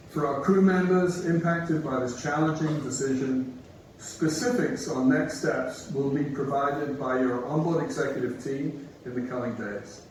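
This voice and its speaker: A man, a company executive, giving a measured, reassuring prepared video address. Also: mid-range voice, noisy background. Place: video played on a theater screen. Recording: echoey hall playback from far off.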